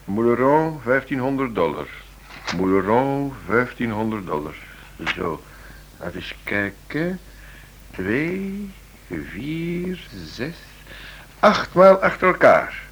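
Keen investigator with lively intonation. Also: male voice, mid-range voice, slow, pauses often, full character voice. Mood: intrigued, deliberate. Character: keen, methodical male investigator reporting to superior. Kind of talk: radio play acting. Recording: radio play with faint noise.

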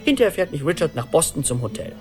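An actor in a radio play voicing an anxious middle-aged man who tells his story in an urgent, animated, rambling conversational monologue. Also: on the high side, quick pace, over music. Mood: animated recounting. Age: 40s.

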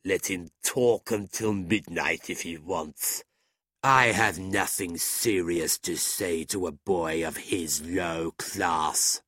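A low-voiced, arrogant gentleman villain , acted out by a male narrator, drunk and full of scorn. He drawls very slowly in a storybook reading, with big swoops of sneering emphasis. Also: very animated, no echo, studio quality, exaggerated voice. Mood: drunken scorn. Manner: slow, sneering, drawling delivery. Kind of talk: audiobook character voice.

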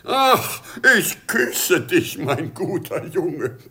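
An actor playing a soft-hearted old emperor and father in a German radio play, emotional and theatrical. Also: on the high side, sing-song, drawn-out words, full character voice. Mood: relieved, tender.

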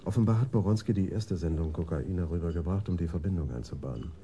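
A man's voice: deep voice, fast talker, mellow, a little hiss or hum, close to an everyday voice. A male actor playing a courteous, deferential police officer giving formal reports in a radio crime drama. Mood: reporting calmly.

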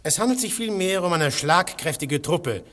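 An actor playing a calm, wry undercover detective in a radio drama. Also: male voice, high voice, normal speed. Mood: explaining.

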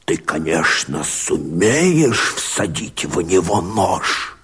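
An actor playing a desperate, bitter escaped convict and rebel, declaiming verse in a hoarse, strained, theatrical way for a radio drama. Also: male voice, deep voice, big pitch swings, a bit bright, exaggerated voice. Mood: brooding, hushed. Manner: impassioned, theatrical, drawn-out declamation with sudden outcries.

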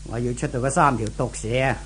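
A man's voice: medium pitch, fast, fairly bright tone, breathy edge. A man acting in a 1970s Hong Kong Cantonese radio drama as a cruel, menacing brothel boss, with a sneering, theatrical delivery full of grunts and questioning barks. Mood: angry scheming.

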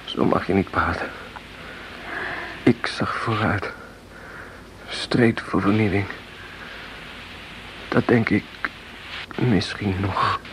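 Slow, wounded rebel, noisy recording. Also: male voice, lowish voice, a bit bright. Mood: weary, idealistic. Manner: laboured, halting, earnest. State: weak and in pain, acted. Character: wounded, weary idealistic rebel, protective brother. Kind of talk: Dutch radio drama acting. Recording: noisy old radio play recording.

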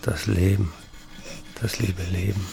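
An actor playing a tormented, self-doubting male writer, reading letters and confessions in a brooding, deliberate literary style for a documentary. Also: deep voice, little pitch movement, vocal fry, full character voice. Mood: bleak, resigned.

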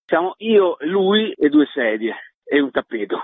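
A man's voice: a little high, big pitch swings, unhurried. A man, chatting casually and ramblingly as a theatre performer being interviewed. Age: 50s. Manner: colloquial, rambling. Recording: muffled, phone-like line, husky and dry.

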